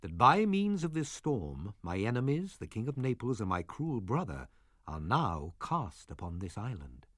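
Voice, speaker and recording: A man reading as a husky old sorcerer. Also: on the low side, big pitch swings, unhurried, no room sound, clean studio sound. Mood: solemn. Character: aged male sorcerer, stern but loving father. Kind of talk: audiobook drama reading.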